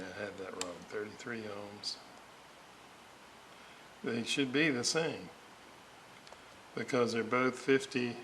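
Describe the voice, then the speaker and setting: Gravelly, slow-talking low-voiced man. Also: fairly bright tone. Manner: halting muttering to himself, repeating readings. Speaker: radio restorer. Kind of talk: thinking aloud while working. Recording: clearly noisy workshop recording.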